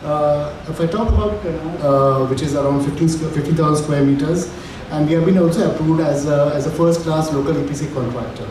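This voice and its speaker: A man's voice: on the high side, a little fast, hardly any pauses. Formal man speaking in an echoey hall. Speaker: local partner team leader. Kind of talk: business presentation. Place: hotel conference hall. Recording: echoey hall from far off, noisy.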